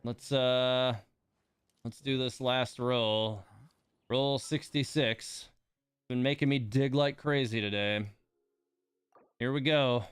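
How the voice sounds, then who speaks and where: A man's voice: mid-range voice, steady tone, slow, pauses often, clean tone, dry recording. A man hosting a game livestream, chatting casually in a rambling, self-deprecating way. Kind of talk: casual livestream chat while playing a game.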